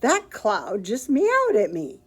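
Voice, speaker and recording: Lady voicing a chirpy storybook housekeeper, kinda high and loud, very earnest, swoopy. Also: wildly swooping pitch, slow, slightly rough, exaggerated voice. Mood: cheerful. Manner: bright, earnest, emphatic read-aloud delivery. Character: cheerful literal-minded woman housekeeper, chatty and innocent. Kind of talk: picture book read aloud.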